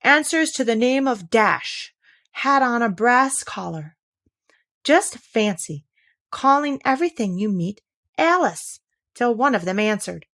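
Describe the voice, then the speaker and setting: A woman's voice: a little high, expressive, unhurried, fairly bright tone, voice pushed up. Timid, thoughtful storybook little girl, played by a woman, polite and puzzled, musing hesitantly. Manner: hesitant, musing aloud to herself. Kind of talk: children's storybook character reading.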